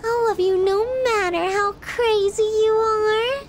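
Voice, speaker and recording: A woman's voice: very high-pitched, fairly even intonation, slow, noisy background. A woman voicing an obsessive, doting young yandere girlfriend in an ASMR roleplay, with a cutesy, giggly manner and an undertone of menace. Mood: tender, teasing.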